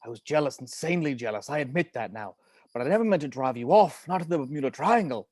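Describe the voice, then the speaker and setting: A man's voice: medium pitch, expressive, fast, darkish tone, dry recording, clean studio sound, natural voice. Man playing a flamboyant, melodramatic patriarch. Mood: anguished confession. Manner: theatrical, dramatic. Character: flamboyant, melodramatic cigar-smoking patriarch, passionate and impulsive. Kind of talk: table read of a comedy film script.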